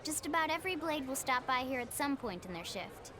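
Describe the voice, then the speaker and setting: A woman's voice: high voice, expressive, brisk, a crowd in the background. An actress playing a perky, eager young woman guiding a tour in a video game, with a bubbly, playful, pushy manner. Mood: upbeat, helpful. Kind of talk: video game cutscene acting.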